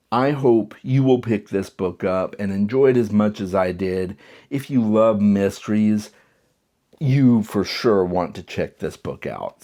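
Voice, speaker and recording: A man with a slightly low voice chatting through a book review. He sounds enthusiastic and conversational, and now and then he stumbles and restarts a sentence. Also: darkish tone. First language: English. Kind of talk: casual spoken book review.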